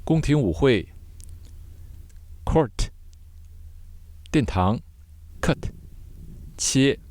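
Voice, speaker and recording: A man teaching vocabulary word by word in measured, short separated phrases, as a scripted lesson. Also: mid-range voice, animated, a little mellow, no echo.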